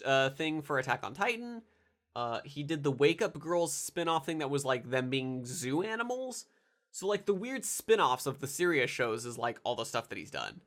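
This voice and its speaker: Nasal congested young man, rambling anime commentary, lively swooping pitch, excitable. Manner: rambling with many fillers and restarts, excitable. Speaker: anime video reviewer.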